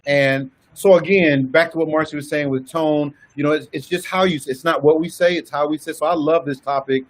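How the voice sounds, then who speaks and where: Guest husband, lively storyteller, voice sits a bit high. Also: male voice, brisk. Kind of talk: casual conversation.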